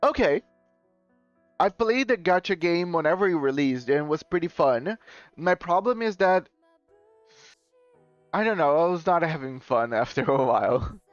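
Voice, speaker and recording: A man's voice: a little high, animated, unhurried, pauses often, dry recording, faint music behind. Rambling streamer man, dull lo-fi audio. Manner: rambling, self-correcting, chuckling. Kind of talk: casual live-stream chat with viewers.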